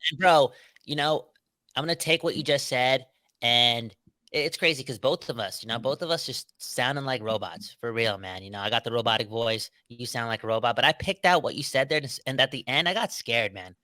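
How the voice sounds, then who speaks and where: A man's voice: mid-range voice, brisk, pushed and bright, no echo. A man co-hosting a sports podcast, talking in a casual, emphatic, slangy way with lots of "right?" and "bro". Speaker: podcast co-host.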